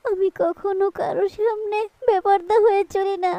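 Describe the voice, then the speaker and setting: A woman's voice: extremely high pitch, level tone, moderate pace, dark tone, no room sound. A woman playing a devout, loving, anxious village mother in a cartoon, plaintive and tender. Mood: distressed, plaintive. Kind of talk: cartoon voice acting.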